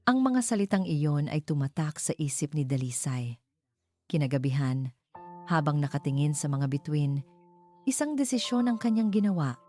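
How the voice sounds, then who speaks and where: Low-voiced woman narrating a story. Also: expressive, moderate pace, mellow. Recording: clear music bed under narration. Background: background music.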